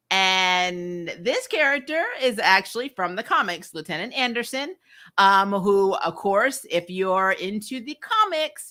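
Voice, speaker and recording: A woman casually recapping TV episodes as a vlog host, animated, often acting out lines and dropping in "you know". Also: medium pitch. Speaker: host of a comic TV review vlog.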